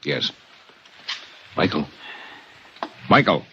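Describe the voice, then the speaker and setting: An actor playing a mild, courteous priest, a prison chaplain, in a 1950s radio drama. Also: male voice, extremely slow, lo-fi sound. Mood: gentle, grave. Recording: noisy old radio recording.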